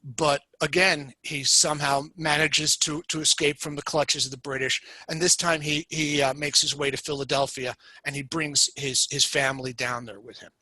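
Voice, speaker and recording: A man from the United States talks through history as a podcast guest, laying out events in a measured, explanatory way. His voice sits a little high and rings bright and forceful. The sound is close and dry, with almost no room in it. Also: flat delivery, dry recording, poor audio. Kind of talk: storytelling history lecture in a podcast chat.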